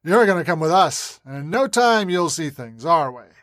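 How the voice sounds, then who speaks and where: A woman's voice: deep voice, expressive, normal speed, a bit bright, no echo, studio quality. A woman voicing a hulking male terrorist leader who sneers and draws out taunting threats. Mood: sneering taunts.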